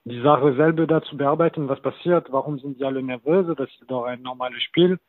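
A man in his thirties, a non-native German speaker, talking as a football coach guest with halting, hesitant phrasing. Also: mid-range voice, dry recording. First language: not German. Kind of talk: casual interview talk. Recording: tinny phone-like line, very dry.

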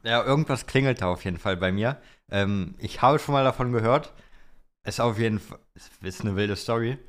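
A man's voice: lowish voice, normal speed. A young man co-hosting a podcast, chatting informally with youthful slang. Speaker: podcast co-host. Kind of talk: casual podcast chat.

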